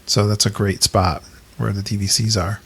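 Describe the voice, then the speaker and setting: A man's voice: fairly low pitch, level tone, moderate pace, a bit bright, slightly rough. A man co-hosting a podcast, talking casually and enthusiastically, laughing often. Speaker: podcast co-host. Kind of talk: casual chat.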